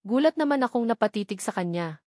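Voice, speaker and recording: A woman's voice: fairly high pitch, expressive, quick pace, a little mellow, no echo, studio quality. A woman with a Filipino accent reading a first-person romance novel as a scripted audiobook narration.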